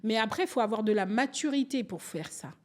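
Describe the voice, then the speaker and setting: Animated woman in her forties from Angola. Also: on the high side, brisk. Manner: animated, storytelling, restarts and acts out quotes. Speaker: podcast guest. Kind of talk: candid conversational interview.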